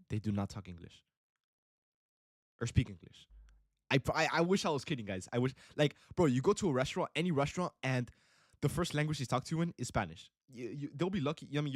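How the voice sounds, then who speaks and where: A man's voice: mid-range voice, no echo, studio quality. A young man with a Miami accent, born in Italy with Italian as his first language, rambling casually to his livestream chat and saying "bro" a lot. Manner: rambling, filler-heavy, says bro a lot. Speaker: day trading livestream host. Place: home trading setup in Miami.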